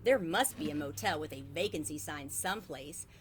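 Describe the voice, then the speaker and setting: A woman's voice: low-pitched, animated, moderate pace, slight background noise. A woman reading a storybook voices a worried, protesting mother on a family trip. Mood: fretful, protesting.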